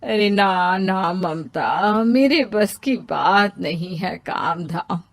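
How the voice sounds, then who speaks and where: A woman voicing a selfish, lazy older mother in an animated moral story, drawling and dismissive. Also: on the low side, very slow, no room sound.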